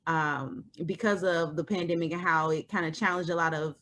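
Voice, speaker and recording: A woman in her thirties, a guest, talking things through thoughtfully with many pauses and fillers. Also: sits low, fairly even intonation, fairly bright tone. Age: 30s. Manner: thoughtful, pauses with lots of ums and you knows. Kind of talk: reflective conversation.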